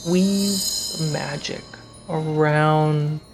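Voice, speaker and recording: Slow, deliberate game master. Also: mid-range voice, fairly even intonation, soft background music. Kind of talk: slow suspenseful game narration.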